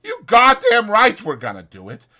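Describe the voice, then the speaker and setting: A stubborn old ranch hand, pitched very high and loud. He speaks in a rustic drawl, insistent and easily indignant, in audiobook drama style. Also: huge pitch swings, normal speed, creaky, exaggerated voice. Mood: defiant, fervent. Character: old crippled swamper man, stubborn, excitable dreamer.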